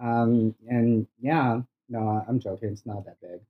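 A man's voice: medium pitch, fairly even intonation, unhurried, narrow, tinny audio. A man from Brazil with a Brazilian Portuguese accent, a podcast guest chatting in a bedroom, bawdy and playful, laughing often. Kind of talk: casual podcast chat.

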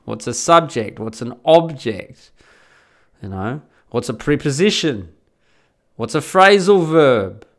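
A man's voice: high voice, fairly bright tone. An Australian man talking in a blunt, chatty way, with lots of restarts and the odd swear word, giving advice in a casual monologue.

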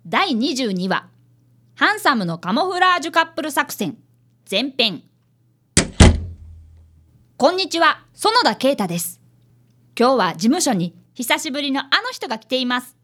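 A high, very lively little boy played by a woman, earnest and eager in his politeness. He is an apprentice detective in a radio drama and also narrates it. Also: normal speed, pauses often. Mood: earnest narration. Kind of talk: Japanese comic radio drama acting.

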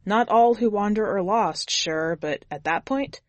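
A woman's voice: mid-range voice, measured pace, no room sound, dull audio, close to an everyday voice. A woman narrating in the first person as a jaded, blunt twenty-year-old drifter, wry and foul-mouthed, in an audiobook story.

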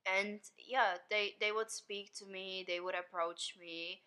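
Casting: young woman, English, clear bright tone, easygoing podcast guest who laughs a lot. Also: medium pitch, fairly even intonation, takes it slowly. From Syria. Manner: chatty, laughs often, non-native English phrasing. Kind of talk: casual chat.

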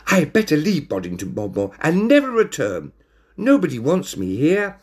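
A man's voice: high voice, big pitch swings, measured pace, creaky, a little mellow, pitched above the performer's own voice, exaggerated voice. A man voicing a timid, polite, easily flustered little whiskered animal in a storybook, with a plaintive manner. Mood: sad.

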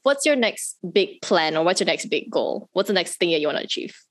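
A woman's voice: lowish voice, big pitch swings, fairly quick, no echo. Playful woman interviewer, dry sound. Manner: playful, joking questions. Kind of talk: casual interview chat.

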